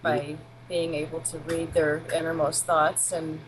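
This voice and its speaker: A woman's voice: sits low, flat delivery, a little slow, a little hiss or hum, poor audio. A woman speaking as an interview guest in casual conversation, reflective, in short considered statements.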